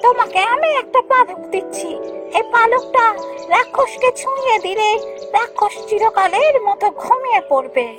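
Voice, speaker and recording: A woman's voice: extremely high pitch, lively intonation, normal speed, music in the background. A woman voicing a grateful, eager talking magical bird in a fairy-tale cartoon. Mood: eager, helpful.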